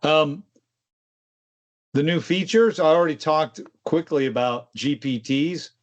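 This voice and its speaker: A man with a somewhat high-set voice co-hosts a casual tech discussion. He explains things conversationally and drops in informal asides. The sound is a little dull and lo-fi. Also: a little slow. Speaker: co-host of a tech discussion show.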